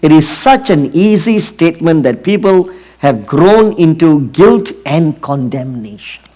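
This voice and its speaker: A man's voice: a little high, big pitch swings, dark tone. Singaporean man preaching a sermon. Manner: earnest, drawn-out emphasis with frequent fillers.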